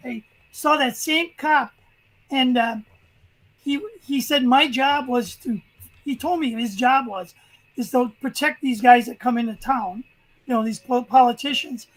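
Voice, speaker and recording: An older man with a high voice, telling stories in a rambling, casual way as a guest on a video call. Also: unhurried, a bit bright, rough recording. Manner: rambling, restarts sentences. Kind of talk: casual chat, storytelling.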